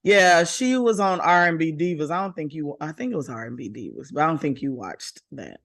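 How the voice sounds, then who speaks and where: A woman with a deep voice co-hosts a casual podcast chat. She is animated and teasing, jumping in with exclamations. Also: a little fast. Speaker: podcast co-host.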